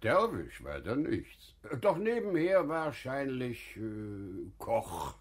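A wise, teasing merchant in a classical radio drama. He speaks slowly and deliberately with a husky voice, his pitch moving freely as he questions and muses. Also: very animated. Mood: amiable, teasing. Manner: theatrical, deliberate, questioning delivery. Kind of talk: German classical radio play acting.

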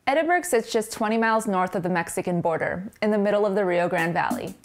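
Casting: young adult female documentary narrator, scripted storytelling read, medium pitch, music bed. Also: animated, quick pace.

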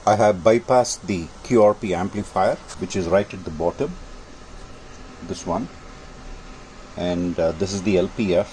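An older man with a low-pitched voice talks through technical matters in an informal, matter-of-fact way. His delivery is measured and explanatory. The recording is made indoors in a home workshop, with faint noise behind him. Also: a little mellow, poor audio. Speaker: amateur radio hobbyist presenting.

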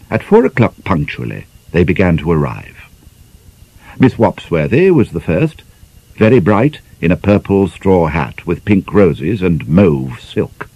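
Low, lively British male narrator. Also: creaky, breathy edge. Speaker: audiobook narrator. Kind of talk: expressive scripted literary narration.

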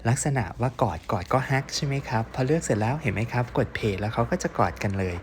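A male online course instructor with a mid-pitched voice. He explains software step by step, puts questions to his learners and encourages them as he goes. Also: lively intonation, fast talker, a little hiss or hum. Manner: encouraging, asks learners questions and praises answers.

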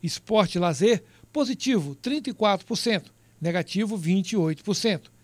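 High-voiced male news reporter, crisp delivery. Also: expressive, no room sound. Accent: Brazilian Portuguese. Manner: measured, reads out figures crisply.

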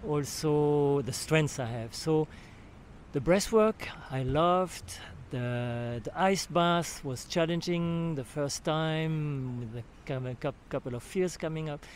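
An older man with a French accent talks slowly and with many pauses, his voice sitting fairly high for a man. He keeps restarting sentences as he searches for the words. Faint outdoor ambience sits behind his close handheld mic. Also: a little hiss or hum. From Switzerland. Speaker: retreat participant giving a testimonial. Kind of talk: spoken testimonial. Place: outdoors at a sandy beach camp. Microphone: handheld recorder held near the mouth.

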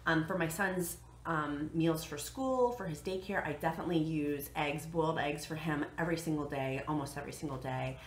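An American woman with a fairly low voice talks to camera in a casual vlog style. She is chatty and emphatic, often restating a point. The living room adds noticeable echo, with some background noise. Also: a little fast, hardly any pauses. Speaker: vlog host giving budget diet tips. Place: living room at home, indoors.

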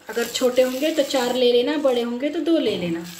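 Female home cook, high voice, simple step-by-step recipe narration, echoey kitchen. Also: animated, brisk, background noise. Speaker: home cook, cooking show host. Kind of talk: casual recipe demonstration. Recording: echoey room.

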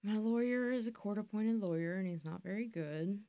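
A woman's voice: mid-range voice, a little fast, warm tone, dry recording. A woman, a guest talking bluntly and matter-of-factly in a candid interview, recorded on a studio mic. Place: podcast studio, indoors. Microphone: studio mic on boom arm.

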